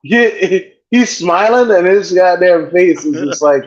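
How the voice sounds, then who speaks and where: A man's voice: high-pitched, animated, measured pace. A man hosting a podcast in casual chat, profane and rambling, joking as he goes. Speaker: podcast host. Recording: dull lo-fi sound.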